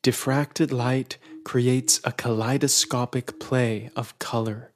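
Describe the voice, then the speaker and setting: A man's voice: mid-range voice, level tone, takes it slowly. A man reading literary science fiction aloud as a narrator. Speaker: reader. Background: loud music.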